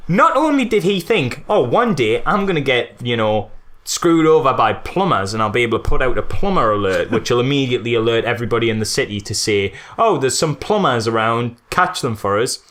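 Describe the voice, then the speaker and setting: A male podcast co-host in casual critique mode. He speaks with emphatic disbelief and a mocking edge, at an ordinary mid-range pitch. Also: expressive, fairly quick, a bit bright. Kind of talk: casual film critique chat.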